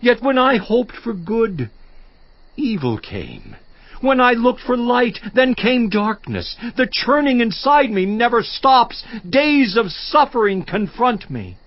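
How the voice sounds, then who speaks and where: A man's voice: extremely high pitch, very animated, dull audio, full character voice. An actor in a dramatized audio Bible playing an anguished, righteous man who solemnly protests his innocence to God. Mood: anguished lament. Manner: slow, solemn, impassioned declamation.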